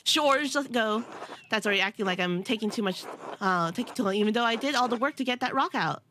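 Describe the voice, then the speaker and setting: A woman's voice: on the high side, expressive, quick pace, bright, forceful tone, a little hiss or hum. A woman around thirty with a nasal voice, chatting casually and giggling as she streams a game. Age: about 30. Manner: giggly, rambling, self-teasing. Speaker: VTuber streamer. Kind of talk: casual live-stream chat while gaming.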